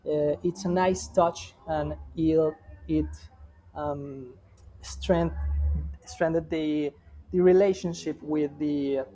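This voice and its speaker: Male guest expert, fairly high pitch but mellow, unhurried and halting, restarts a lot. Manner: halting, frequent restarts and fillers. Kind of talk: reviewing examples in conversation.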